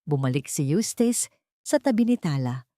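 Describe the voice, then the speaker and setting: Lowish-voiced woman narrating dramatically. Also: sing-song, normal speed, warm tone, dry recording, clean studio sound. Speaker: audio story narrator.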